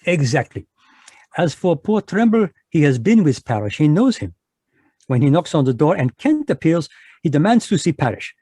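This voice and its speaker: A man voicing a fussy, precise Belgian detective, speaking in a measured, lecturing way in a live online radio drama. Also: fairly high pitch, very animated, dark tone, breathy edge, dry recording. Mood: explaining.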